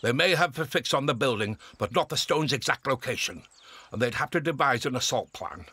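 Grave wartime sci-fi storyteller, husky and very expressive, for audio drama narration. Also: male voice, mid-range voice, fairly quick, no room sound. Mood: grave, suspenseful.

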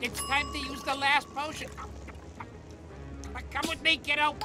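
High-pitched feisty little creature. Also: female voice, fairly even intonation, measured pace, over music. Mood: cocky, excited. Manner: exclamatory, slangy. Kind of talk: cartoon character acting.

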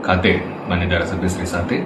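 A man speaking as a senior district official, giving an unscripted statement in halting, formal bureaucratic phrases. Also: low-pitched, level tone. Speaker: district collector. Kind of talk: official statement, spoken off the cuff. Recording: echoey room, clear background noise.

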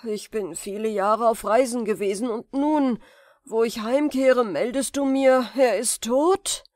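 A woman voicing a scheming male sorcerer who poses as a tender, generous uncle, with an ingratiating, drawn-out sentimental delivery in a fairy tale. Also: on the high side, darkish tone, no echo, clean studio sound, pitched above the performer's own voice, close to an everyday voice. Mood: feigned tender sorrow.

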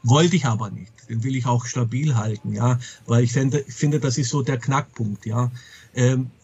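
A middle-aged man speaks as an interview guest in a casual, candid way. His voice is a little husky and sits at an average pitch. He often hesitates and rephrases as he goes. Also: moderate pace, darkish tone, dull audio. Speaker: comic publisher being interviewed. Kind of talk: casual interview talk about a trade.

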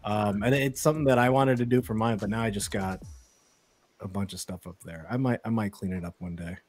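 A man's voice: on the low side, fairly even intonation, brisk, darkish tone, soft background music. A man co-hosting an interview on a video call, talking casually and enthusiastically in a rambling way. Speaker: co-host. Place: home studio, video call.

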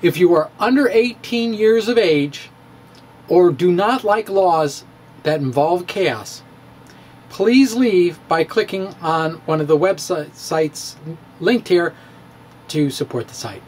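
Middle-aged man, theatrical playful reading, very lively pitch, slow unhurried pace, faint room hiss. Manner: theatrical, playful, with pauses and dips. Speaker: physics blogger. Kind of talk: dramatic reading of his own blog. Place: home room with bookshelves. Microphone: studio mic in front of him.

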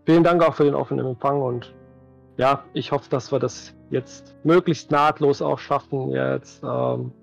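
A man's voice: medium pitch, fairly even intonation, moderate pace, creaky. A middle-aged man chatting casually as an interview guest, recorded indoors on a video call. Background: music.

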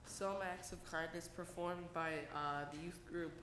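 Young woman reading a list at church, slow and halting, lots of ums, echoey. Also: lowish voice, fairly even intonation, fairly bright tone, a little hiss or hum. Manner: halting with many fillers. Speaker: youth group member. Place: church sanctuary at a lectern.

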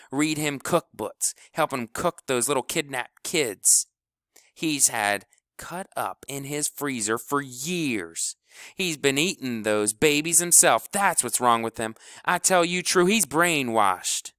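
Man putting on a shouting, furious young bully. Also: lowish voice, dry recording, clean studio sound. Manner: shouting, heated, rough grammar. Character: loud angry boy bully, reckless accuser.

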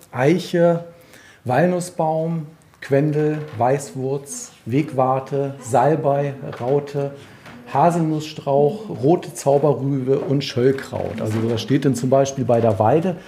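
A man reports on location for a podcast from inside a museum. His voice is mid-pitched and mellow, with slight ambient noise behind it. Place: indoors in a museum.

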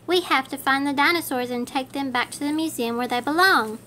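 Storybook girl superhero played by a woman: eager, helpful, bright and exclaiming. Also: sits high, brisk, slight background noise. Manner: excited, exclaiming.

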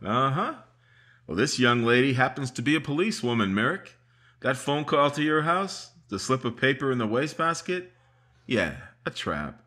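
Radio mystery: a man voicing a self-assured sheriff who explains the case step by step. His voice is bright, with a lively, rising and falling pitch. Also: normal speed. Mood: confident. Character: confident male sheriff, triumphant. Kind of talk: radio drama acting.

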